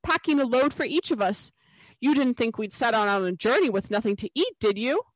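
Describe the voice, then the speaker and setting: A woman's voice: fairly high pitch, animated, brisk, no echo, pitched above the performer's own voice. A woman voicing a motherly female beaver, practical and matter-of-fact, in a storybook reading. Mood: brisk, practical.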